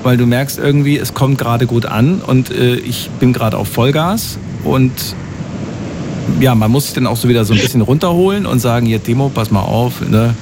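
A man's voice: medium pitch, moderate pace, noisy background. A man hosting a radio call-in show, chatting casually with callers and often repeating words as he talks.

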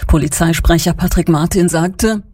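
A woman reading the radio news in an even, measured way. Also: fairly low pitch, breathy edge. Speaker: newsreader. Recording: dry studio sound.

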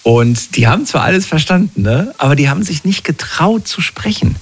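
A man interviewing a guest in a relaxed conversation, asking long, reflective questions and often checking in with short tag questions. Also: on the high side, fairly quick, slightly rough.

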